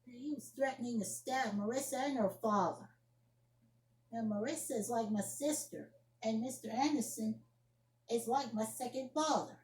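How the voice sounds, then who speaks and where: An indignant young woman argues her case in an amateur drama monologue, acted out by a woman. Her voice is fairly high yet soft-edged and mellow, in a room with clear echo. She scolds emphatically, repeating herself and restarting as she defends what she did. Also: normal speed, lightly acted. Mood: defensive. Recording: echoey room.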